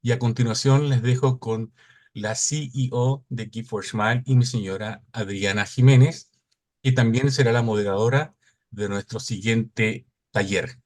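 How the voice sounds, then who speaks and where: A middle-aged man from Chile, giving a formal welcome speech and reading out a conference agenda in a measured, announcing way. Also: medium pitch, fairly even intonation. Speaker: conference cofounder and opening speaker.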